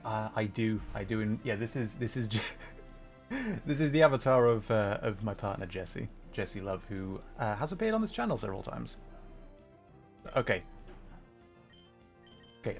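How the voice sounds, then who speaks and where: A man doing casual livestream chat and game commentary, often breaking off mid-thought to answer viewers. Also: mid-range voice. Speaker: livestreamer playing a game and chatting.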